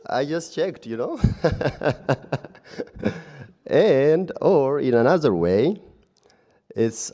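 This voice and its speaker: A man's voice: medium pitch, mellow. A man who is not a native English speaker, giving an emphatic rebuttal from the floor of a live debate. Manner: slow, emphatic, laughing at his own point. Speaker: audience member in a debate. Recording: reverberant hall.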